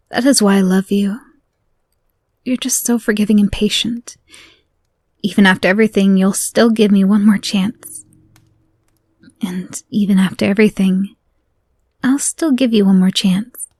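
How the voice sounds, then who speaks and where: A woman voicing an obsessive, murderous young woman who holds the listener captive, speaking softly and often in a whisper, swinging between menacing calm and frantic apology. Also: mid-range voice, no echo, studio quality. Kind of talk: audio roleplay to a listener.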